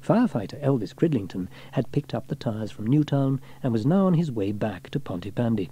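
A man reads a children's story aloud in an expressive way. His voice is mid-pitched and mellow, with a lively rise and fall suited to audiobook narration. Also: a little fast, slightly rough, muffled recording.

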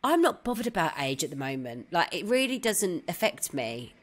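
A woman in her thirties talks from home over a headset. Her voice sits low, and she reads out listener questions before thinking aloud with plenty of "um"s. She sounds conversational and reflective. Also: sing-song, normal speed. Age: 30s. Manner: thoughtful, reads out listener questions and muses with fillers. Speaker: podcast co-host. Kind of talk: casual chat. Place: home, on a video call.

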